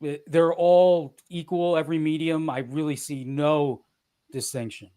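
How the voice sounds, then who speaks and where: An enthusiastic man guests on a casual stream, slangy and grateful. His voice sits a little higher than usual. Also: steady tone, measured pace, dark tone, smooth. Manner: enthusiastic, says dude and hell yeah. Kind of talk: casual roundtable chat. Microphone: remote stream mic.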